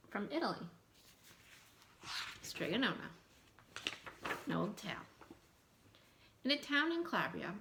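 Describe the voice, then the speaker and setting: A woman's voice: medium pitch, creaky, a bit bright, a little hiss or hum. A woman giving school announcements and reading a storybook aloud to young children. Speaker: elementary school staff member reading aloud.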